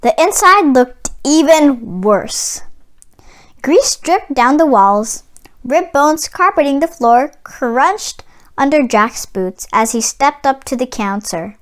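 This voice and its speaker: A woman narrating a children's storybook read-aloud in an expressive storytelling manner. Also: high-pitched, takes it slowly. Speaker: storybook reader.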